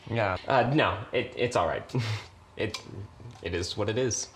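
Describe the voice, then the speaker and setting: A man's voice: on the low side, a little slow. A young man playing an awkward, bookish aspiring writer, stammering nervously and eagerly in a web series. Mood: sheepish. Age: young adult. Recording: echoey room with noisy ambience.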